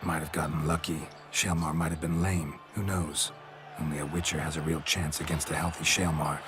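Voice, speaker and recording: An actor playing a gruff, world-weary monster hunter in a video game, terse, blunt and deadpan. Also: male voice, extremely low pitch, moderate pace. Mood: stoic, wary. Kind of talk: video game dialogue acting. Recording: loud music behind the voice. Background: loud game music.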